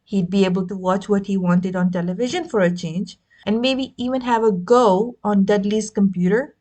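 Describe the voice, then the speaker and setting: A woman reading a children's novel aloud as an expressive narrator. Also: medium pitch, normal speed. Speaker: audiobook reader. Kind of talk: expressive scripted story narration.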